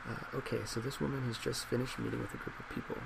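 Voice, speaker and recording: A man in his twenties speaking in a soft ASMR role play as a psychologist, hesitant, measured and reassuring, recorded in a small office. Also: medium pitch, level tone, gravelly. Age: 20s. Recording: loud laptop fan noise.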